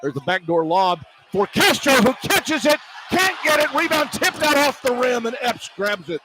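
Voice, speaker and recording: A man doing live basketball play-by-play on the radio as the announcer, animated and quick to exclaim at the action. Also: very high-pitched. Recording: poor radio feed, clear background noise.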